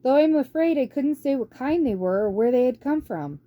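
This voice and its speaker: A woman's voice: high voice, lively intonation, normal speed, dark tone, pitched above the performer's own voice. Woman playing an eager young mouse. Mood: earnest, excited. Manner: eager, earnest. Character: earnest, excitable young male mouse.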